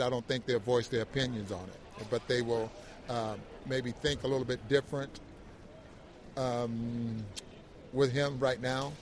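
A man co-hosting a postgame show as an analyst, casually working through his points in a halting way and repeating words. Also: medium pitch, slight background noise. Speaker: sports analyst, co-host.

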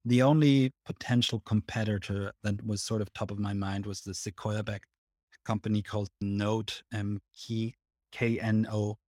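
A man from Switzerland with a Swiss accent, hosting an interview and asking polite, measured questions. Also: on the low side, flat delivery, darkish tone, no echo, studio quality. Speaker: podcast host. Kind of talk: interviewing a guest.

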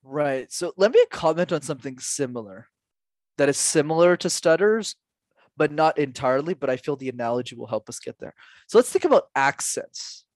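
A man's voice: fairly high pitch, lively intonation, normal speed, no echo. A man in his twenties, a public speaking coach answering interview questions in an earnest, emphatic way, full of rhetorical questions. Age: 20s.

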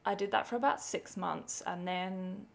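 A woman's voice: mid-range voice, fairly even intonation, moderate pace, creaky. A young woman from England, her accent half English and half Australian, co-hosting a podcast in a chatty, laughing way. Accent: English with some Australian. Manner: chatty, laughs often, many likes and ums. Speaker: podcast co-host. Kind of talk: casual chat.

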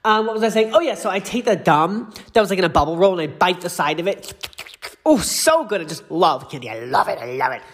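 A man's voice: high-pitched, sing-song, fairly quick, a bit bright. Gushing, congested man hosting a podcast. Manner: gushing and effusive, rambling with asides. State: congested from allergies. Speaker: pop culture podcast host. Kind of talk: casual solo podcast chat.

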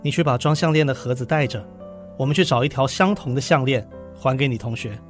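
An actor playing a patient, dutiful husband in a literary radio drama, speaking in a soothing, earnest, sighing way. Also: male voice, medium pitch, brisk, faint music behind, lightly acted. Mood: resigned, grave. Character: patient, dutiful husband calming his panicking wife.

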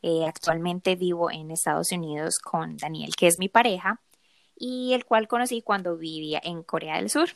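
A woman's voice: lowish voice, normal speed, gravelly, no room sound. A woman in her thirties with a Colombian accent from Medellín, talking conversationally as co-host of a podcast. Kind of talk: casual conversational podcast intro.

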